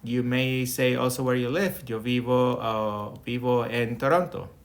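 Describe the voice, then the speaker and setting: A middle-aged Colombian man with a Colombian accent teaches a beginners' Spanish class online. He explains each step slowly and keeps checking in with "okay?". His voice comes through a laptop mic on a video call from a small room. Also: mid-range voice, fairly even intonation. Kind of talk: online lesson with screen share. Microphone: webcam or laptop mic on video call.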